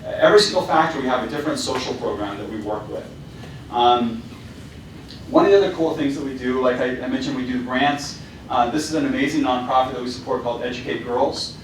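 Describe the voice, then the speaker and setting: A man's voice: medium pitch, fast talker, far from the mic, slight background noise. A man giving a casual presentation as a company founder, with many fillers and restarts. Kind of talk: presentation to students. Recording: very echoey hall, voice from afar.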